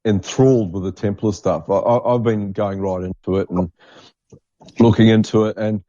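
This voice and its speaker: A man's voice: on the low side, fairly even intonation, moderate pace, mellow, no room sound. A man hosting a podcast in casual chat, enthusiastic and repeating himself for emphasis, on a lo-fi recording. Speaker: podcast host. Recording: dull lo-fi, dry microphone sound.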